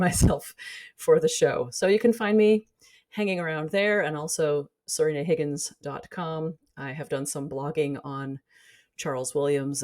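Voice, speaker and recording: Female academic guest, deep register, articulate, conversational interview delivery. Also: darkish tone. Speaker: academic guest, editor and teacher. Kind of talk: casual podcast interview.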